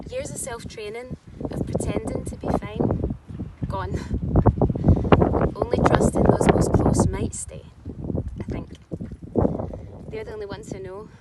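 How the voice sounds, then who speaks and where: Distant mic, loud noise: actress playing anxious female performer, very lively intonation, rhyming confessional monologue. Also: on the high side. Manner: rhythmic, theatrical, emphatic delivery. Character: anxious, candid female actor baring her real self. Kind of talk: rhyming spoken-word dramatic monologue.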